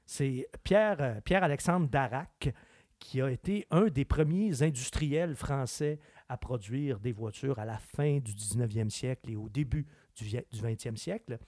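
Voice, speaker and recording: A man with a Québécois French accent, a car expert chatting on a radio show in an opinionated, animated way. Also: mid-range voice, a little slow, dry recording, clean studio sound. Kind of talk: casual chat.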